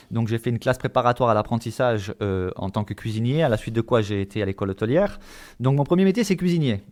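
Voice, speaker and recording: Guy from marseille giving interview answers, medium pitch, kinda hesitant, hunts for words. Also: animated, fast talker, darkish tone. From Marseille, France. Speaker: interview guest, volunteer visitor of the elderly.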